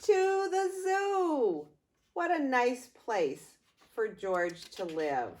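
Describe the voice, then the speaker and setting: An older woman reading a picture book aloud to young grandchildren, expressively, with playful asides to the listeners. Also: a little high. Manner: expressive, unhurried, addressing small children.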